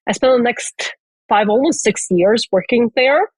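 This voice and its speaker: A woman's voice: fairly high pitch, measured pace, a little mellow, breathy edge, no room sound. A woman from Russia, a venture investor answering interview questions in an earnest, thoughtful way. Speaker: venture capital investor, guest.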